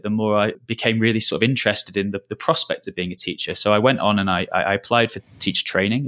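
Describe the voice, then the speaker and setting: A man in his thirties with a Lancashire accent talks earnestly in a podcast interview. His voice sits a little low, and he often stops and restarts as he thinks. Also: pushed and bright. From Lancashire, England. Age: 30s. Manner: earnest, thoughtful, with frequent restarts. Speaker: school director of learning.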